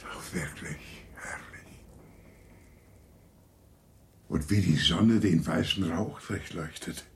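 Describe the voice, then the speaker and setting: An actor playing a courteous, effusive gentleman with a formal, gallant manner in a German radio drama. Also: low-pitched, slow pace, slightly rough, full character voice. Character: courteous, effusive gentleman proudly showing off his view.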